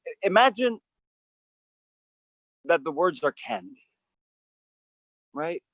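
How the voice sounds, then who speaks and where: A man hosting an outdoor acting coaching session, animated and emphatic, with frequent restarts. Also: a little high, slow, dry recording.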